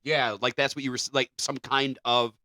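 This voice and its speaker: A man's voice: medium pitch, flat delivery, normal speed, bright, forceful tone, dry recording, clean studio sound. A man co-hosting a podcast, chatting casually and reading out stories. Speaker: podcast co-host.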